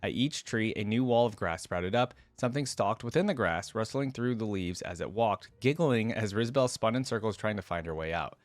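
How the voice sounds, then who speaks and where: A man's voice: on the low side, expressive, moderate pace, hardly any pauses, dry recording. A man reading a story aloud and commenting on it in a casual, slangy way as a reaction host. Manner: informal, slangy asides. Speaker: host of a game lore reaction video.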